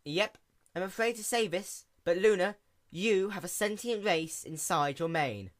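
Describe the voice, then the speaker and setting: A woman's voice: on the low side, expressive, measured pace, pitched above the performer's own voice. A woman voicing a nerdy young female sea pony mechanic who explains things plainly in a story reading. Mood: matter-of-fact, earnest.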